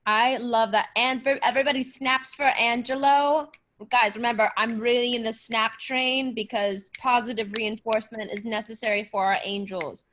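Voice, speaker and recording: A woman's voice: a little high, steady tone, normal speed, fairly bright tone, natural voice. A woman playing a petty, vindictive God who bosses angels around insistently in an improvised comedy. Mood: exasperated, bossy. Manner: bossy, repeats words insistently.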